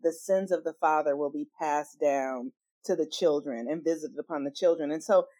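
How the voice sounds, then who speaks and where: Earnest woman with a low voice. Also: normal speed, no echo. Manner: earnest, emphatic, with swings in loudness. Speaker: astrologer guest. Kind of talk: personal storytelling in an interview.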